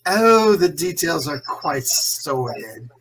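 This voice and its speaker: A suave, scheming jailed crime boss from a 1940s radio melodrama, acted out by a performer. The voice is husky and slow, sliding widely in pitch. Every phrase is savored with theatrical, gloating condescension. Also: sing-song, fairly bright tone, full character voice, low-quality recording. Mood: sly, amused.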